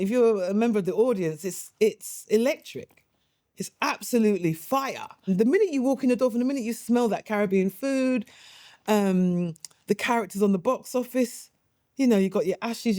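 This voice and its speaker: Warm, lively actress reminiscing. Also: female voice, medium pitch, dry recording, clean studio sound. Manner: animated, laughing often, chatty. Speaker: actress and playwright. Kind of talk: interview, reminiscing.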